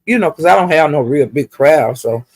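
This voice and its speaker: A woman's voice: deep voice, animated, moderate pace, darkish tone, no room sound. An older woman, chatting casually to live viewers as a livestream host in a folksy, rambling, salty-tongued way.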